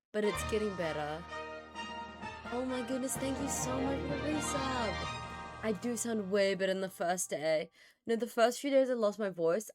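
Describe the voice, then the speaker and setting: Woman, hoarse, raspy and a bit gravelly, recovering voice, casual live-stream chatter, mid-pitched. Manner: chatty, greets chat by name.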